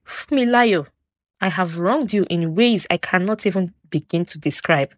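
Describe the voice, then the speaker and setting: A woman's voice: fairly low pitch, moderate pace, no echo, close to an everyday voice. A woman voicing a broken, remorseful husband in a folktale, humbly pleading.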